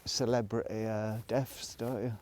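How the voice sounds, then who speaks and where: A man's voice: on the low side, level tone, measured pace, darkish tone, dry recording. A middle-aged man, rambling reflectively in a casual solo monologue, hesitant and thinking aloud as he goes. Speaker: solo video blogger.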